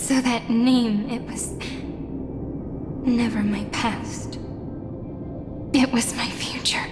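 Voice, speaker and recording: A woman playing a pensive, resolute young woman facing a heavy fate, speaking solemnly and deliberately in a video game. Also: a little high, steady tone. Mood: pensive, sorrowful. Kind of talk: dramatic video game voice acting. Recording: very echoey long reverb, music behind.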